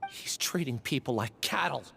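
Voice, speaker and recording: A man's voice: mid-range voice, expressive, moderate pace, creaky, sharp tone, full character voice. A man playing an indignant young researcher in a video game, protesting with passion. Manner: impassioned, protesting. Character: indignant young male researcher defending a friend. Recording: long reverb, faint ambient noise.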